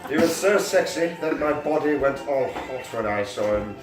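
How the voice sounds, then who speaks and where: A man's voice: medium pitch, expressive, normal speed, music in the background. A man giving a mock-serious dramatic reading of amateur fiction, prone to giggling. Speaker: reader. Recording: echoey room, mic at a distance.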